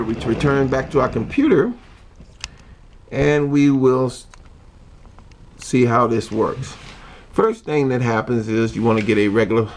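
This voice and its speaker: A man giving an informal how-to demonstration, explaining step by step in a halting manner. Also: medium pitch, darkish tone, slight background noise. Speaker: hobbyist demonstrator. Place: indoor room.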